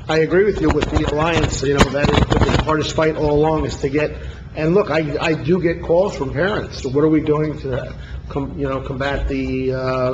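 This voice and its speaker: A man chairing a public meeting as mayor, talking in an informal, conversational, agreeable way. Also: on the high side. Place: indoor council chamber. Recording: muffled, clearly noisy meeting room.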